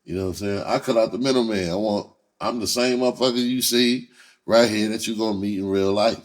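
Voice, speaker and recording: Casting: American male comic, lowish voice, casual unfiltered monologue, blunt slangy delivery with swearing. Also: fairly quick. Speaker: comedian and rapper. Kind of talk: casual spoken monologue, candid opinion.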